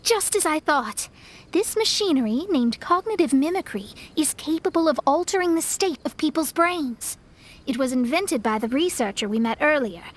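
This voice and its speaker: A woman's voice: high voice, animated, normal speed. A woman voicing an earnest young female investigator in a video game dub, speaking in an articulate, explanatory way.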